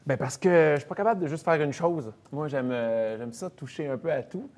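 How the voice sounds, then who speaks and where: A man's voice: fairly high pitch, normal speed. Chatty Quebec comedian in his thirties. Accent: Quebec French. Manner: chatty storyteller, relaxed and self-deprecating. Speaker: comedian and actor as interview guest. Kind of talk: casual interview chat.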